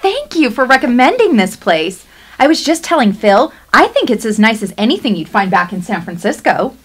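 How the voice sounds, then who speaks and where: A woman acting in a radio play as a sweet but gently bossy American wife, chirpy and coaxing. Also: on the high side, very animated, moderate pace, husky. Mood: chatty.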